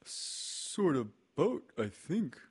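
Man voicing Pooh, a husky, naive toy bear. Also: a little high, very animated, extremely slow, darkish tone, pitched above the performer's own voice, full character voice. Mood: cheerful, puzzled.

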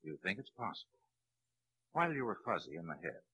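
An actor playing a shrewd police lieutenant in a crime drama, a probing interrogator who can sound falsely kindly. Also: male voice, low-pitched, big pitch swings, fairly quick, sharp tone, slightly rough, exaggerated voice. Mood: coaxing. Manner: probing, soothing then blunt. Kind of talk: dramatic film-noir crime acting.

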